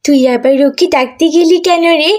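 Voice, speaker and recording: A woman's voice: sits high, normal speed, a little mellow, natural voice. A woman voicing a spiteful, petulant cartoon schoolgirl with a bossy, sneering way of talking.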